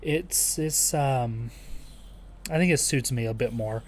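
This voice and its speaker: Slow-paced, soothing male streamer. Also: a little hiss or hum. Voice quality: soothing, calm voice. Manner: chill, low-energy, halting delivery. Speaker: live streamer. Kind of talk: casual chat with livestream viewers.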